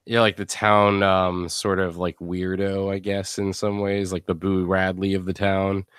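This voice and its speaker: A man with a fairly low voice hosts a casual post-show chat. He rambles conversationally and often trails off mid-thought. The sound is close and dry, with very little room in it. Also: flat delivery, a little slow, a bit bright, smooth, no room sound. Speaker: podcast host.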